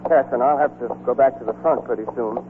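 An actor in a 1940s radio drama playing a lovestruck, wistful young soldier, earnest and understated. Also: male voice, medium pitch, steady tone, fast talker, phone-quality sound, slight background noise, close to an everyday voice. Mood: earnest, troubled. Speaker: actor and narrator in a radio play. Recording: thin 1940s radio recording with music.

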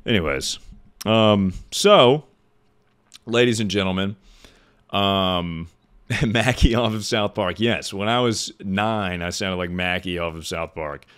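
A young man streaming from his bedroom into a boom-arm studio mic, chatting casually and rambling with lots of fillers in a deep voice. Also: takes it slowly, a bit bright, clean tone. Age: young adult. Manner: rambling, self-deprecating, filler-heavy. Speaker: livestreamer. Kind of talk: casual Q&A livestream chat.

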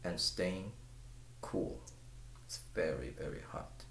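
A middle-aged man with Chinese as his first language talks to camera from a small room at home, his voice very deep and gravelly. He circles back on his words and keeps pressing the listener with questions. The webcam catches an echo off the walls and faint guitar. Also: slow, fairly bright tone, a little hiss or hum. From China. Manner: rambling, repeats words, asks the viewer pointed questions. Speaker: guitar teacher. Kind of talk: casual talk to camera. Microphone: webcam at close range.

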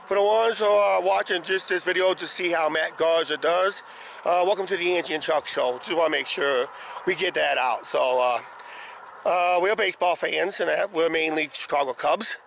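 A middle-aged man with a slightly hoarse voice, chatting casually and ramblingly as a vlog host, recorded outdoors on a handheld camera. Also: a little high, moderate pace, fairly bright tone, rough recording. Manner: rambling, repeats "uh, basically". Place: outdoors, roadside and ballpark. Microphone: handheld camera at arm's length. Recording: clear outdoor traffic and ambient noise.

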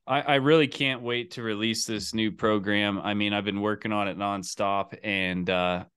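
A man's voice: lowish voice, steady tone, normal speed, fairly bright tone, smooth, dry recording, clean studio sound. A middle-aged man chatting casually as the host of a coaching call, indoors on a video call. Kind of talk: casual chat. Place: indoor home office.

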